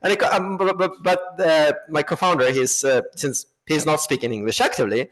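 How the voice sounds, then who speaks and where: A man's voice: fairly high pitch, lively intonation, brisk, a bit bright, rough recording. Chatty man. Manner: self-described chatterbox, talkative and loose. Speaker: guest, app co-founder. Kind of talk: casual podcast conversation.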